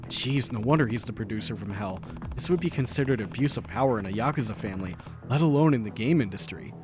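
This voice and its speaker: A man voicing a gruff, calm male game protagonist in a low, dry, understated tone. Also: fast talker, over music, close to an everyday voice. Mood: deadpan.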